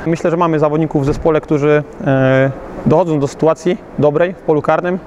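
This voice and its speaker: Hesitant coach, slightly high voice. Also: male voice, fairly even intonation, fairly quick, a little mellow, muffled recording, a little hiss or hum. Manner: hesitant, many fillers and restarts. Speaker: youth football coach. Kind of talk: post-match interview. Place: outdoors beside a covered pitch.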